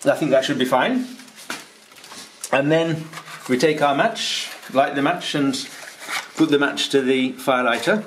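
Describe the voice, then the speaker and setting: A man's voice: mid-range voice, a little slow, long pauses, a little hiss or hum. A man in his fifties, a holiday rental host, patiently explaining a task step by step with frequent ums, recorded on a handheld camera in a stone-walled kitchen. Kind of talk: instructional tutorial.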